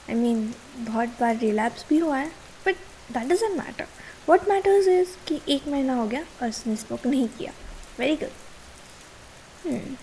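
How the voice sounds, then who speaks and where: A woman's voice: high voice, a little mellow, poor audio. A young woman with an Indian accent playing a caring but easily exasperated, nagging girlfriend in a casual ASMR roleplay, mixing two languages. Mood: proud, musing. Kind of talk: ASMR girlfriend roleplay, Hindi mixed with English. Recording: hiss and rain sound behind.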